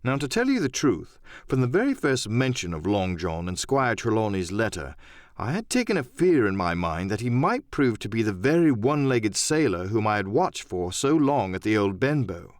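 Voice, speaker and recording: A man's voice: on the low side, sing-song, normal speed, no room sound, clean studio sound. A man narrating an adventure audiobook in a dramatic first-person storytelling style.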